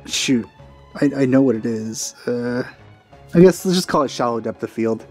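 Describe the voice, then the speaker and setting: A man gives casual commentary while playing a video game. His voice is dark and relaxed, with offhand, muttered asides. Game music sits faintly behind him. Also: medium pitch, moderate pace.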